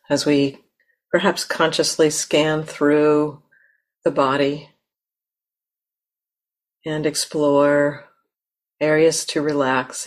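Low-pitched, very slow woman guiding meditation. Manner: gentle, unhurried instruction with long pauses. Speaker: meditation teacher. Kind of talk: guided meditation talk.

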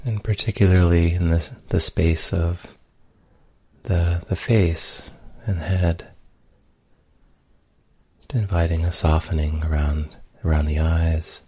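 Man, guided meditation, mellow tone, close dry sound, gentle delivery, lingering pauses. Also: low voice, level tone, slightly rough, no room sound. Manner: gentle, unhurried, long pauses. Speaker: meditation teacher.

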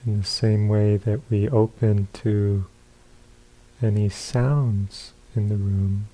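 A man giving guided meditation instructions as a teacher, calm and unhurried, with long pauses between short phrases. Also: fairly low pitch, rough recording.

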